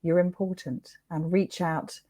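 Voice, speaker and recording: A woman's voice: on the low side, fairly even intonation, measured pace, warm tone. A woman hosting a conversational interview in an earnest, encouraging way. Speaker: interview host.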